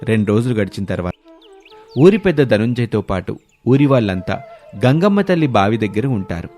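Low-voiced male story narrator. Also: sing-song, a little fast, darkish tone, faint music behind. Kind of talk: dramatic scripted story narration.

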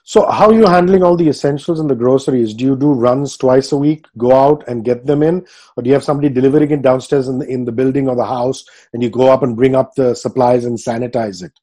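A man's voice: medium pitch, fast, dark tone. A man in his thirties, a radio host, interviewing a guest over a video call from a home office and asking rambling questions.

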